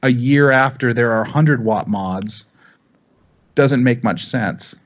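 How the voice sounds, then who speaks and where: American man, mid-low voice, emphatic, opinionated, repetitive phrasing, casual panel talk, slightly muffled lo-fi audio. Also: takes it slowly. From United States. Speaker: vaping talk show panelist.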